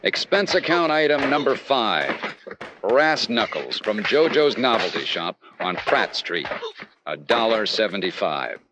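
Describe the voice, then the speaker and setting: A man playing a brisk, sardonic, confident insurance investigator in an old-time radio detective drama. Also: fairly low pitch, sing-song, background noise. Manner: sardonic, snappy.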